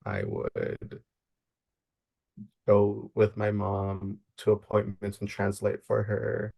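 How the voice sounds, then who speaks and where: A young man from Maryland, a social worker, giving earnest, measured interview answers and thinking aloud as he goes. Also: fairly low pitch, sing-song, gravelly, warm tone. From Maryland, United States.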